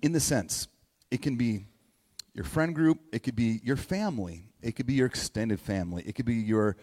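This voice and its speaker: A man in his forties speaks as a pastor in a reverberant hall, at a medium pitch. His sermon is informal and conversational, with halting repeated phrases and little check-ins along the way. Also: a little mellow. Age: 40s. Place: large reverberant indoor room, church gathering. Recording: echoey hall with long reverb.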